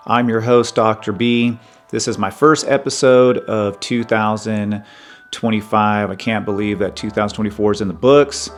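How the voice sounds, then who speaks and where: A man in his fifties hosting a podcast, talking earnestly and in a measured, conversational way about his own life. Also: fairly low pitch, steady tone, faint music behind. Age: 50s. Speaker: podcast host. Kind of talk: personal reflective monologue.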